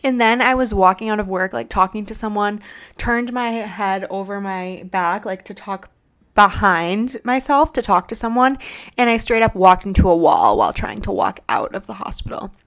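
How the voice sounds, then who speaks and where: A woman's voice: mid-range voice, lively intonation, moderate pace, fairly bright tone. A young woman hosting her own podcast, chatting casually and rambling with lots of fillers, sounding congested from a cold. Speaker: podcast host. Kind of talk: casual unscripted solo podcast chat.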